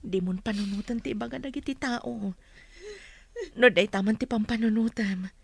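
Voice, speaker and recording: A woman's voice: fairly high pitch, moderate pace, creaky, husky, dry recording. A woman playing a hurt but steadfast mother in an Ilocano radio drama, counselling her children earnestly. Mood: firm, encouraging.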